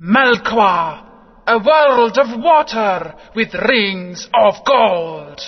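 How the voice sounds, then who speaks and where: A solemn alien overlord. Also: male voice, sits high, animated, exaggerated voice. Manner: slow, solemn proclamations. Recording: muffled audio with long reverb.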